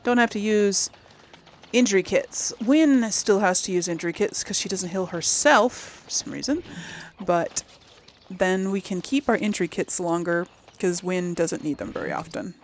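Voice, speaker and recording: A woman's voice: mid-range voice, normal speed, slight background noise. A woman giving casual game playthrough commentary, thinking aloud and muttering to herself as she goes. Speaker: gaming channel host.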